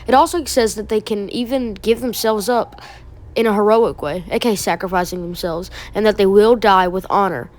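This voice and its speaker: A woman's voice: mid-range voice, moderate pace. A woman hosting a video essay, giving explanatory commentary on a horror video.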